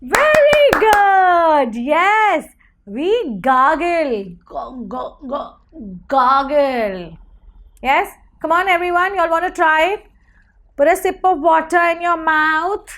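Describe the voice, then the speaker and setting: A woman teaches small children in a very high voice that swings widely in pitch. She speaks extremely slowly, repeating words and putting questions to her young viewers. Her delivery is encouraging and sing-song, like a preschool phonics teacher. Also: expressive, a bit bright.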